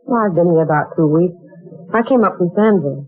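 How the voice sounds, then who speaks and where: A woman's voice: fairly low pitch, expressive, a little fast, no room sound. An actress playing a proper, hungry young woman looking for work, in a 1940s radio drama, on a tinny old broadcast recording. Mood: earnest. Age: young adult.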